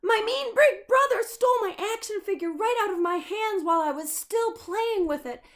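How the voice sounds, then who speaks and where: A woman's voice: very high-pitched, moderate pace, voice pushed up. A woman voicing an upset little storybook boy, complaining in a whiny, run-on way. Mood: tearful, aggrieved. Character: upset, put-upon little boy, easily scared.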